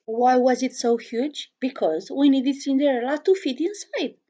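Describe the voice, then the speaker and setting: A woman's voice: high voice, normal speed, dark tone, dull audio. A woman reading a children's storybook aloud, expressively, chatting to young listeners with questions and asides.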